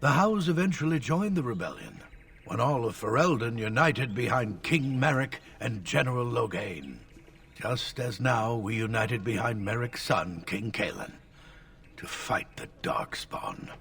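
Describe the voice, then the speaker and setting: Elderly male tutor for a fantasy game: measured, husky, expressive, easily exasperated, slightly distant. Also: medium pitch, full character voice. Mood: lecturing. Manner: measured, lecturing, formal. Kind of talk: video game voice acting.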